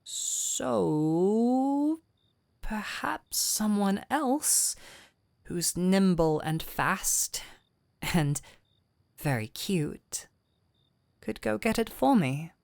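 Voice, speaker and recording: A woman playing a gentle, caring physician in a fantasy audio drama, patient and soothing, with a softly teasing touch. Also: medium pitch, expressive, drawn-out words, creaky, pauses often, no room sound, studio quality, exaggerated voice. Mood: coaxing, tender. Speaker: audio roleplay voice actor. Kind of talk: intimate scripted audio roleplay.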